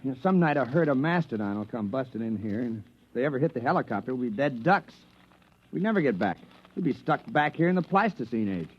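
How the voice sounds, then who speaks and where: An actor playing a practical, cautious adventurer in a 1950s sci-fi radio drama, slangy and matter-of-fact. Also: male voice, medium pitch, expressive, fast, husky. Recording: dull, lo-fi vintage radio recording.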